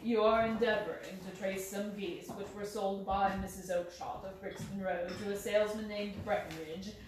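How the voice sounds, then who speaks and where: A woman voicing a calm, clever male detective in a lower, bland and measured tone, recorded from a distance in a roomy space. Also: lightly acted. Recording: roomy, distant mic, faint hiss.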